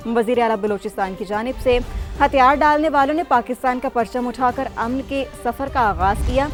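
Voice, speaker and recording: A Pakistani woman anchors a news bulletin in a fairly high voice. She reads scripted items in the manner of a television newsreader, with music faintly playing underneath. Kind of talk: scripted news bulletin reading with reporter handovers.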